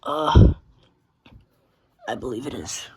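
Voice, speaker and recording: A man's voice: mid-range voice, lively intonation, slow, dark tone. A man giving a casual, rambling tour of a collection, offhand and mildly profane. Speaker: radio collector showing collection. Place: indoors among working radios. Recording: clear background noise with clicks.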